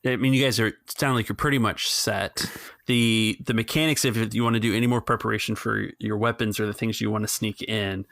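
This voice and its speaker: Creaky-voiced man running a tabletop game. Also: on the low side, steady tone, a little fast, fairly bright tone, dry recording. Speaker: game master. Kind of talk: casual explanation of game rules.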